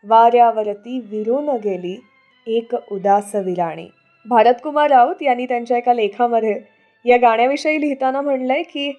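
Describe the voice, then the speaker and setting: Female radio presenter, high register, scripted storytelling over light music bed. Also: dark tone, muffled recording. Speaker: radio show host.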